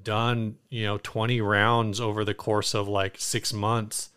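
A man's voice: fairly low pitch, little pitch movement, a little slow, a bit bright, smooth. A man speaking as a podcast co-host in casual, rambling chat, often reworking his phrases as he goes.

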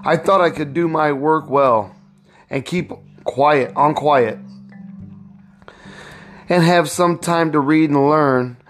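A man's voice: fairly high pitch, slow pace, long pauses, soft background music, pitched above the performer's own voice. A man reading a storybook, voicing a proud, indignant young enslaved husband in an emphatic, aggrieved way. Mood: bitter, fed up. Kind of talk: storybook read-aloud.

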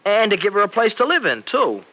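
An actor playing an earnest, excitable young husband and devoted son-in-law in an old radio serial. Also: male voice, high voice, fairly quick, exaggerated voice, rough recording. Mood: reflective, candid. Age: young adult. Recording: vintage radio recording.

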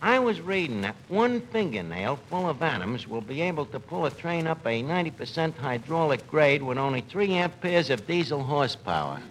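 An actor playing a pompous, wisecracking tavern manager with rough streetwise diction in a 1940s radio comedy. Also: male voice, on the high side, sing-song. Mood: self-important. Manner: pompous, slow deliberate punchlines. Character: wisecracking, malapropism-prone tavern manager, brash and self-important. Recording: noisy vintage 1940s radio recording.

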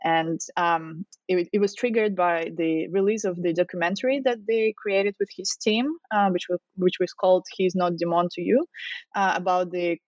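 A woman's voice: fairly low pitch, fairly even intonation, moderate pace, a little mellow, no echo. Russian woman, dull lo-fi remote call. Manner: thoughtful, many fillers and restarts. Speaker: guest author, postdoctoral researcher. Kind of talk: academic interview answers.

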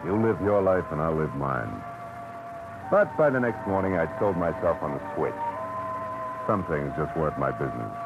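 A man's voice: very deep voice, big pitch swings, moderate pace. An American actor playing a tough, wry private detective who narrates his own case in clipped, hard-boiled radio drama style. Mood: wry, brooding. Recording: muffled vintage radio recording with loud music.

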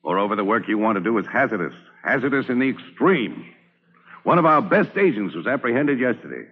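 A man's voice: medium pitch, big pitch swings, fairly quick, slightly rough, lo-fi sound. A man playing a stern, commanding army general, authoritative and emphatic, in old-time radio drama style. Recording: band-limited vintage radio recording.

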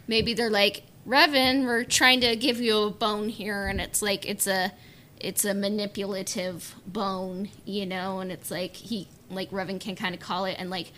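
Female podcast panelist, average pitch, loose and hesitant conversational delivery, faint rumble behind. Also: level tone, a little slow, sharp tone. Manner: hesitant, peppers talk with "like". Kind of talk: casual chat.

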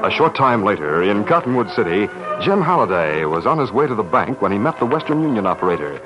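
An American man narrating an old-time radio western as a measured storyteller. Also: on the low side, sing-song. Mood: measured, dramatic. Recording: muffled old radio recording, music behind.

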